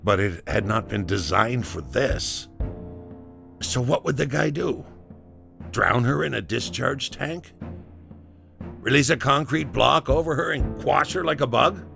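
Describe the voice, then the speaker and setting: A man playing a haunted worker telling his grim story in an intense, halting, theatrical monologue for an audio drama. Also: mid-range voice, creaky, over music. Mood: grim, brooding. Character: haunted male construction worker recounting a grim deadly gamble.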